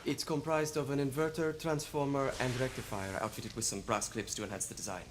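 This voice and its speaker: A man portrays a courteous, eccentric inventor in a Victorian game. His mid-pitched voice is precise and deferential with small hesitations, set against clear ambient noise. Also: fairly even intonation, fairly quick, lightly acted. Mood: proud, eager. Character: polite, proud eccentric inventor of Victorian gadgets. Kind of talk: video game cutscene acting.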